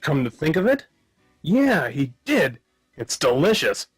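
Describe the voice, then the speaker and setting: An actor playing a flippant, absent-minded hard-boiled detective in a radio play, with a deadpan, wisecracking delivery. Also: male voice, a little high, unhurried, breathy edge, soft background music, exaggerated voice. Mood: flippant, vague. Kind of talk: noir radio play narration and dialogue.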